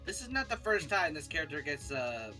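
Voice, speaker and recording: A man's voice: a little high, big pitch swings, a little fast, sharp tone. A playful man joking casually as a co-player on a gaming stream. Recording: clear music behind.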